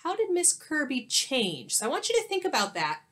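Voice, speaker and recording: A woman's voice: sits high, huge pitch swings, moderate pace, a bit bright. A woman in her thirties, a teacher, reading a picture book aloud expressively and explaining it to children. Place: small indoor room at home.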